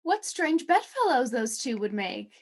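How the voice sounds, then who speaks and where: A woman's voice: fairly high pitch, very animated, a little slow. A woman co-hosting a radio variety show, theatrical and playful, in scripted 1940s announcing style.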